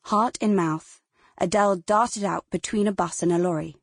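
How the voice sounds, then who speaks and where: A woman's voice: medium pitch, moderate pace, creaky, slightly rough, no echo, studio quality. A woman with a British accent narrating dramatic fiction as a scripted audiobook.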